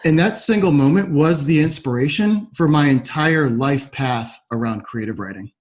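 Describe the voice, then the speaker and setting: A man's voice: a little high, normal speed, a little mellow. A man hosting a podcast interview, speaking in an agreeable, reflective conversational way. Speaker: podcast host.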